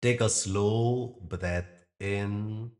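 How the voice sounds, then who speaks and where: A man with a low-pitched voice leads a guided relaxation. He delivers each instruction gently and very slowly, leaving generous space between phrases in the manner of a yoga nidra teacher. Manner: gentle, unhurried instruction with long pauses. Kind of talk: guided meditation.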